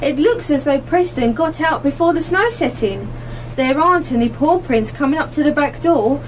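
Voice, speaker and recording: Woman acting a very high-voiced, fond dog owner. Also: animated, normal speed. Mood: concerned. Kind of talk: amateur radio play acting. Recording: noisy live amateur radio broadcast recording.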